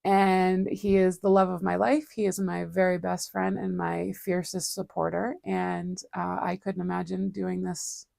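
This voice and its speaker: Woman, forties, rambling livestream host, gravelly, self-deprecating. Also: on the low side, little pitch movement, a little slow, hardly any pauses, a little mellow, dry recording, studio quality. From Boston area, USA. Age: 40s. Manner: chatty and rambling, self-deprecating, laughs often. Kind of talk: casual chat. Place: home studio.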